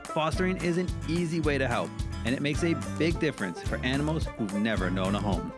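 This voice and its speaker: A man's voice: medium pitch, lively intonation, fairly quick, loud music behind the voice. A man narrating a scripted TV news feature as a presenter.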